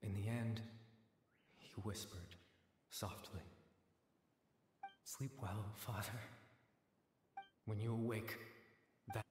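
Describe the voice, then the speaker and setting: An actor playing a mournful man who recites a farewell poem in a slow, hushed, tender manner. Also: fairly low pitch, fairly even intonation, noisy background. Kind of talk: solemn poetic recitation. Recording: echoey hall with music.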